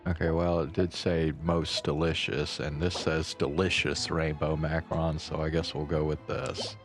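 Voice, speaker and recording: A man's voice: rumbling low, little pitch movement, normal speed. A man doing casual, wry game commentary as a streamer, muttering asides and reading dialogue aloud over game music.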